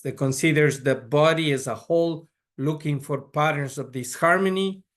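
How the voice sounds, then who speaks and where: Measured man lecturing. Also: mid-range voice. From Ecuador. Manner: measured, frequent restarts and repeated words. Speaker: pediatric neurologist lecturer. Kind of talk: conference lecture.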